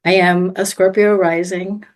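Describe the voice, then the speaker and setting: Very low-voiced, slow, meandering woman. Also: flat delivery. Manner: meandering, thoughtful, searching for words. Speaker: guest, astrology student. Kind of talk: conversational podcast interview.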